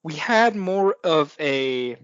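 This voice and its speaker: A man's voice: a little high, lively intonation, takes it slowly, fairly bright tone, clear, resonant tone, dry recording, muffled recording. A man hosting a podcast, talking hesitantly and restarting his sentences as he builds toward a point. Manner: hesitant, restarts often while building an argument. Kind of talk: casual podcast conversation.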